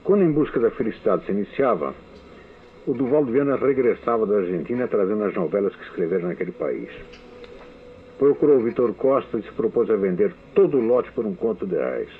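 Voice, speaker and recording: An older man from Brazil with a Brazilian Portuguese accent, reading his prepared memoir as a recorded testimony, measured and deliberate. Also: fairly low pitch, expressive. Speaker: writer giving a recorded testimony. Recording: tinny phone-like archive radio recording.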